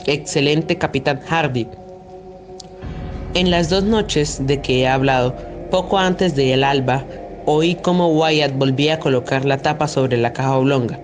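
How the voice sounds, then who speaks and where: A young man with a somewhat high voice narrates a literary tale for a student radio drama. He reads from a script as the storyteller. Music is clearly audible behind his voice. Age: young adult.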